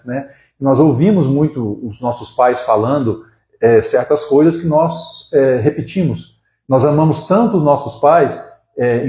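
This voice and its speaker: A man's voice: mid-range voice, warm tone, reverberant. A man in his forties from Brazil, an acupuncturist giving a casual live talk, often restarting words and tagging sentences with "né?". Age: 40s. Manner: conversational, frequent "né?" tags and restarts. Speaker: acupuncturist hosting a live stream.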